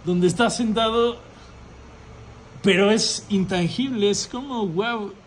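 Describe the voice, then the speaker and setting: A man's voice: high voice, slow pace. A man with a Mexican accent hosting an informal interview in an effusive, rambling way. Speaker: host interviewer of a city history channel. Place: restaurant dining room, indoors. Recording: background noise, restaurant room ambience.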